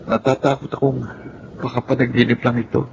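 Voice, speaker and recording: A man's voice: medium pitch, little pitch movement, a little fast, gravelly, dull audio, background noise, close to an everyday voice. A man with a Filipino accent acting a polite, timid orphaned teenage boy in a radio drama, earnest and deferential. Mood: sorrowful, fearful.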